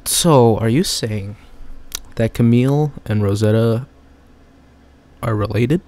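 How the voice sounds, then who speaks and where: A man's voice: medium pitch, takes it slowly, dark tone. A young man playing a game casually, reading its text aloud and joking about it. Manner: chatty, reads text then jokes about it. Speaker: gamer.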